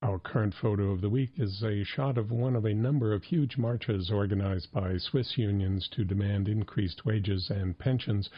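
A man reading a scripted news report in a steady, measured way. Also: lowish voice. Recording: dull lo-fi recording.

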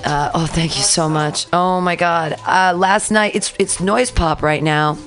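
Low-pitched woman radio host, chatty and rambling, faint music under the studio mic. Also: unhurried. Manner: chatty, rambling, animated retellings. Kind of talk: casual radio chat.

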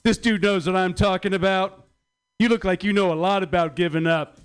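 High-voiced middle-aged male stand-up comedian. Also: steady tone, a little fast, smooth. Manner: wry, sarcastic, profane complaining.

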